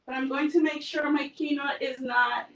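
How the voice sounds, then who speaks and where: A woman's voice: sits high, steady tone, normal speed, distant mic, muffled recording. A woman from El Salvador giving a casual cooking demonstration in her home kitchen, chatty with frequent fillers. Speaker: cooking instructor.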